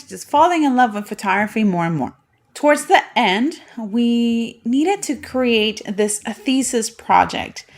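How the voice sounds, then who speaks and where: Chatty Puerto Rican-born woman host. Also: mid-range voice. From Puerto Rico. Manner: chatty, personal storytelling. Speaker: podcast host. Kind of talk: solo podcast monologue.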